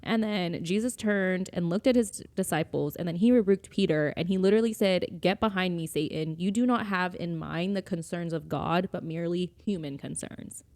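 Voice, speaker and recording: Casting: female podcast co-host, slightly low voice, casual conversational delivery, informal and loosely worded. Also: moderate pace, hardly any pauses, darkish tone. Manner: informal, tentative retelling peppered with "like" and "you know". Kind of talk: casual conversational discussion of faith.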